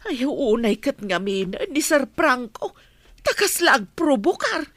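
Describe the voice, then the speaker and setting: A woman's voice: high-pitched, very animated, takes it slowly, fairly bright tone, no room sound, full character voice. A woman with an Ilocano Filipino accent playing a loyal, protective, scolding household nanny in a radio drama. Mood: advising.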